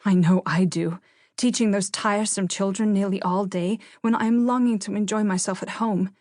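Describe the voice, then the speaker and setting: A woman's voice: mid-range voice, steady tone, normal speed, darkish tone, dry recording, studio quality, natural voice. A woman voicing a proper teenage eldest sister, sweet but complaining, with a lecturing, plaintive manner in an audiobook.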